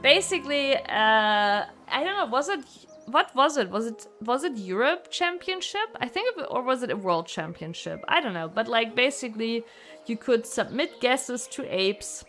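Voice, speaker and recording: Female game streamer, highish and very expressive, rambling casual delivery. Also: normal speed. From Germany. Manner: rambling, animated, swears casually. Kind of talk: casual live gameplay chat. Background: music.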